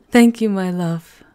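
A woman's voice: mid-range voice, warm tone, smooth. A woman voicing a seductive, possessive vampire countess in an intimate, aristocratic manner for an ASMR roleplay.

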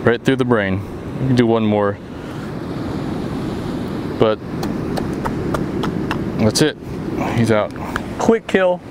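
A man in his thirties chatting casually and informally as a fishing vlogger, with slangy exclamations. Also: medium pitch. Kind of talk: casual outdoor vlog chat. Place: outdoors on rocky seashore. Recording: outdoor ocean surf noise.

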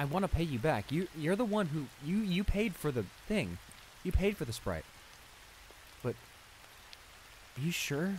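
Man acting a flustered young bully in the rain, slowish. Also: mid-range voice, close to an everyday voice. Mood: apologetic. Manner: hesitant, stammering, halting. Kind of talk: roleplay audio drama, improvised monologue to a listener. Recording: steady rain noise behind the voice.

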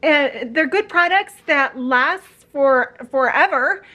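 A woman's voice: sits high, takes it slowly, pushed and bright, dull audio. A woman in her forties chatting casually to a phone held at arm's length in her living room, friendly and rambling with fillers. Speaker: home decor vlogger. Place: living room at home, indoors. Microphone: phone camera at arm's length.

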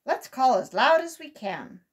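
A woman's voice: high-pitched, big pitch swings, a little slow, pitched above the performer's own voice. A woman voicing an eager, brave young rabbit boy from a storybook, exclaiming dramatically. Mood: urgent.

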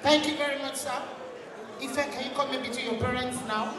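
A woman's voice: high-pitched, steady tone, brisk, distant mic, very noisy. A woman with a Nigerian accent hosting a live wedding ceremony in a commanding, theatrical, exhortative manner. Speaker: master of ceremonies at a traditional wedding. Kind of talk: live event hosting with prayers and chants. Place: large indoor event hall. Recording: echoey PA in crowded hall, music behind.

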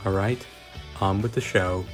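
A man's voice: low voice, fairly even intonation, a little slow. A young man hosting his channel with a casual video intro. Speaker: channel host. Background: plucked guitar music.

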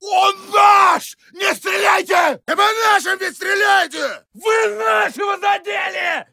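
An actor playing an alarmed Russian soldier in a war video game, barking short, urgent warnings in a frantic manner. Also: male voice, extremely high pitch, slow pace, creaky, sharp tone, no room sound, shouting, some chatter behind, exaggerated voice. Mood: angry, urgent. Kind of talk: shouted battlefield warning barks for a video game.

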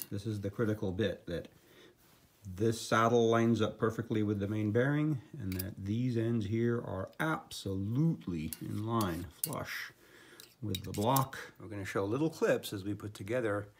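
A man's voice: fairly low pitch, a little hiss or hum. Older man explaining engine work. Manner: practical and instructive, with asides. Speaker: engine builder. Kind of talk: hands-on workshop demonstration. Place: home garage workshop.

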